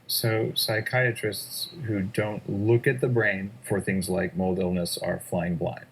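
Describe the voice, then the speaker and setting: A male interviewer with a very deep, gravelly and husky voice. He asks his guest measured, direct questions. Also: little pitch movement, poor audio.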